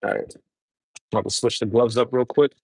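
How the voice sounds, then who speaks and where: A man's voice: mid-range voice, fairly even intonation, brisk, a little mellow, slightly rough, no echo. A man from Brooklyn, chatting casually with viewers while cooking on a livestream. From New York City, Brooklyn. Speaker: home cook livestreaming.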